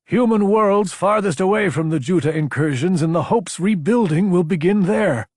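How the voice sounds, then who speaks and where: A man's voice: sits high, normal speed, darkish tone, no echo, studio quality, pitched above the performer's own voice. A man voicing a desperate man's recorded distress message in a sci-fi audiobook, solemn, urgent and declamatory. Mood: grave, desperate. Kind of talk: dramatic audiobook character voice.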